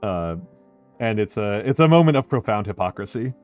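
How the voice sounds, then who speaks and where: A man's voice: on the low side, animated, moderate pace, clear, resonant tone, soft background music. Rambling American man chatting on stream. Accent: American English. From United States. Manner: rambling, many fillers and repeated phrases. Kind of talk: casual livestream chat reading viewer messages.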